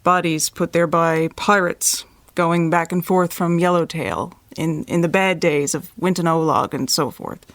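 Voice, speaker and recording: A woman telling her account in a slightly low voice, very close and dry. She goes slowly and haltingly, searching for words, like natural testimony in an audio drama. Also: no room sound. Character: grandchild recounting a night drive, giving testimony.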